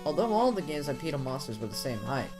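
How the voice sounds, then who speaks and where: Male narrator for creepypasta reading. Theatrical, widely swinging pitch, music bed underneath. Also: big pitch swings, quick pace. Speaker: narrator of a horror story channel. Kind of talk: dramatic reading of a first-person creepypasta.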